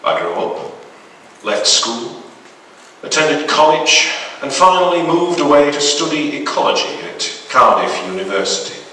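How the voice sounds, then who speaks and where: Man, theatrical story reader, lively intonation and bright voice, recorded from afar in a reverberant hall. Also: on the high side, measured pace, slight background noise. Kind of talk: dramatic reading of a macabre short story. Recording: very echoey hall, distant microphone.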